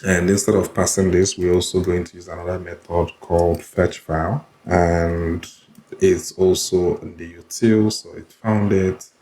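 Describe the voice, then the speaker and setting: A man's voice: low voice, flat delivery, measured pace, warm tone. A man walking through code step by step in a screen-recorded tutorial, repeating himself as he explains. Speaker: coding tutorial presenter. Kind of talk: screen-recorded coding walkthrough.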